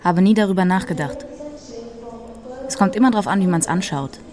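An actress playing a polite, unbothered female immigration officer in a radio play, speaking calmly. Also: on the low side, moderate pace, crowd noise, lightly acted. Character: calm female immigration officer, matter-of-fact. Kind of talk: radio drama acting.

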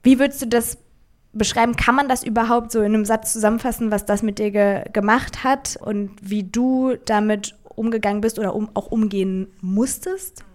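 A woman's voice: a little high, fairly even intonation, moderate pace. A woman who grew up in Germany interviews her guest, asking attentive, open questions and murmuring along to show she is listening. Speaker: interviewer, host. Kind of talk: conversational interview.

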